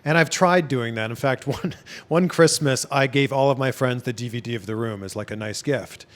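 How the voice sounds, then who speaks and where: A man's voice: mid-range voice, normal speed, fairly bright tone. A man from Toronto hosting a casual interview, chatty and enthusiastic. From Toronto, Canada. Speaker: interview host.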